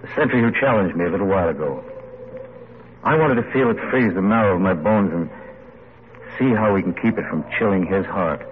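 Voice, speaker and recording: An actor playing an earnest, idealistic army adjutant and writer in solemn, oratorical old-time radio style. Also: male voice, low voice, expressive, a little fast, narrow, tinny audio. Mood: grave, respectful. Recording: noisy, band-limited vintage radio recording.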